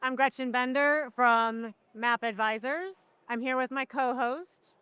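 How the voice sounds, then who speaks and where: A woman's voice: sits high, a little slow, bright, forceful tone, clean tone, no echo. A woman hosting a podcast, introducing the show and its people. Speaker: podcast host.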